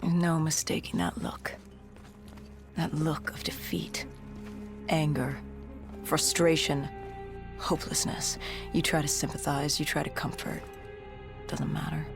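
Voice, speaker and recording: Haunted, low-voiced female soldier. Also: fairly even intonation, a little slow, creaky, long pauses. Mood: haunted, grim. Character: haunted female soldier recounting a zombie apocalypse. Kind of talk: dramatic first-person story narration. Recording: music behind the voice.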